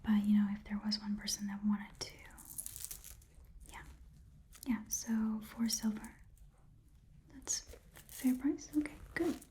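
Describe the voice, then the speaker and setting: A woman voicing an easygoing goblin pawn shopkeeper in a soft, breathy whispered ASMR roleplay, haggling in a casual, rambling way. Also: medium pitch, a little slow, long pauses, a little hiss or hum, lightly acted.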